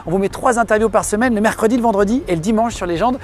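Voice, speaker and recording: A man hosting an interview podcast, quick and direct, who piles up short questions. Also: high voice, a little mellow, a little hiss or hum. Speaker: podcast host. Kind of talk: interview host and channel intro.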